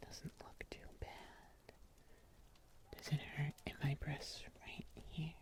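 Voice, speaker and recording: An ASMR performer playing a kindly huntsman healer in a whispered fantasy roleplay, soothing and breathy, with old-fashioned phrasing. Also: medium pitch, flat delivery, takes it slowly, close to an everyday voice. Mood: gentle, caring. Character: kindly fairy-tale huntsman healer, caring and gently wry.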